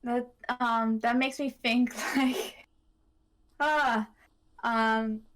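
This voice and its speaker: A woman's voice: a little high, steady tone, extremely slow, fairly bright tone, rough recording. A woman in casual podcast chat, co-hosting with a hesitant, meandering, giggly way of talking. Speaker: podcast co-host.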